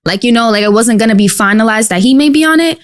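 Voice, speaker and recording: Young New York woman, twenties, a touch high-pitched, slangy guest chatter into a studio mic. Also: fast talker. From Queens, New York. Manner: animated, slangy, lots of likes and you-knows. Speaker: guest rapper. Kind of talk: casual podcast interview. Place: small dark podcast studio. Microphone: studio mic on boom arm.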